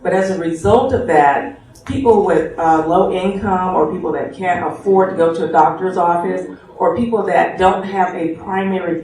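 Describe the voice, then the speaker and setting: Man, panel guest, earnest and halting, slightly high voice, distant mic with heavy room echo. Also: a little mellow. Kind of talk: debate remarks. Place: tobacco shop, live radio show. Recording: very echoey room from far off.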